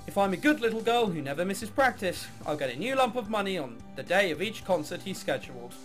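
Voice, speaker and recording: A man's voice: high voice, sing-song, fast, a bit bright, soft background music. A man voicing a jaded young woman, a reluctant orchestra heiress in a visual novel, with sarcastic, bored delivery. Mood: wry narration.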